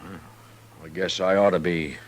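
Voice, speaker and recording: A man's voice: low voice, steady tone, moderate pace. A man with a rural American accent playing a proud, stubborn homesteader in a 1950s radio western, with folksy speech. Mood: subdued.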